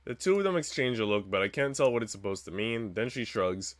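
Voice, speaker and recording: Man, low voice, game streamer, read-aloud narration, slangy joking asides, dry recording. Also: sing-song, a little fast, dry recording. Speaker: streamer reading a visual novel aloud.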